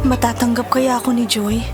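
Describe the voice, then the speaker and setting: Gentle, earnest stepmother-to-be, played by a woman, fairly high voice, audio drama with clear music behind. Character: gentle, apologetic girlfriend longing for stepdaughter's acceptance.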